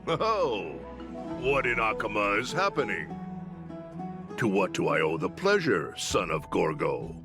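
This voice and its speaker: Teasing old warrior mentor, theatrical, deep voice, very up and down, game music under it. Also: male voice, sing-song, gravelly, full character voice. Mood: wry, disdainful. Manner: theatrical, mocking, drawn-out storytelling. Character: sly, theatrical older male warrior mentor, teasing storyteller. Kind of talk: video game voice acting.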